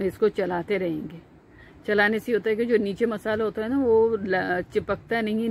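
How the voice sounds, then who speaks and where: A woman with a Pakistani Urdu accent talks through a recipe as she cooks, in a calm, instructive way. Her voice sits at a medium pitch, with frequent "uh" fillers and restarts. She is recorded close on a phone in a home kitchen, with faint background noise. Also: rough recording. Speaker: cooking channel host. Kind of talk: step-by-step cooking narration.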